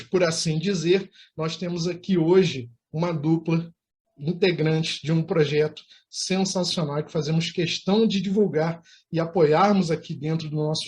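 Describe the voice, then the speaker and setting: High-pitched effusive Brazilian man hosting. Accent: Brazilian Portuguese. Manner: wordy, flowery, enthusiastic. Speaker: interview host, anchor. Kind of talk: effusive, formal hosting talk.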